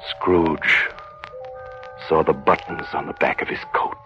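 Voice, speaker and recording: A man's voice: extremely low pitch, little pitch movement, takes it slowly, creaky, full character voice. A man narrating an old radio drama in a grave, suspenseful, theatrical scripted style. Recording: muffled vintage radio recording. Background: music.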